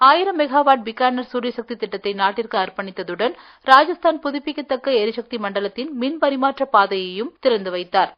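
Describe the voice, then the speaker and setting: A woman reads a formal scripted radio news bulletin in a measured manner. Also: a little high. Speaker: news reader. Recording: dull lo-fi radio sound.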